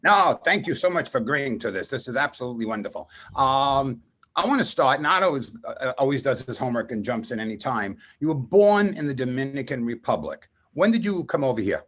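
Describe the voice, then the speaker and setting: A man with a husky voice and very lively, swinging intonation hosts a conversational radio show. He speaks emphatically and effusively, often wandering into asides. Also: medium pitch, a little fast. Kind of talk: conversational interview and guest introduction. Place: radio studio.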